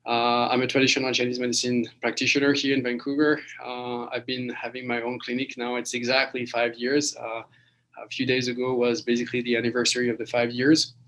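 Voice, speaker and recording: A man's voice: mid-range voice, completely flat delivery, a little fast, fairly bright tone, rough recording. A man from France, giving a webinar lecture over a video call, with many fillers and restarts. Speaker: guest lecturer.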